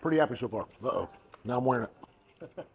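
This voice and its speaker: A man's voice: medium pitch, expressive, brisk, creaky, a little hiss or hum. A man in his thirties giving a casual, upbeat food review while eating in a barbecue restaurant. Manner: upbeat, plainspoken, enthusiastic about food. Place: busy barbecue restaurant, indoors. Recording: background music audible.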